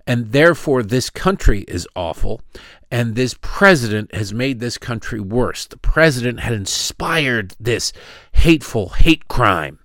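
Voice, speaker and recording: A man hosting a show, delivering sarcastic, mocking political commentary with big swings in pitch. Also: expressive, measured pace, a bit bright, no echo, studio quality. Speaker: host.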